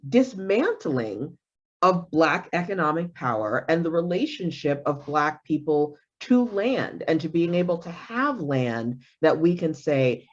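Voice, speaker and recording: A woman hosting a panel discussion, asking questions thoughtfully with many restarts. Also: on the low side. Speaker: forum host. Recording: dull lo-fi audio.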